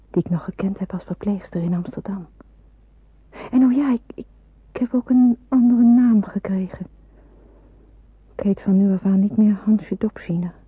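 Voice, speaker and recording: A woman's voice: medium pitch, slightly rough, dry recording. An actress in a Dutch radio drama playing an anxious young woman in hiding, musing and questioning hesitantly. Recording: narrow phone-like old radio recording.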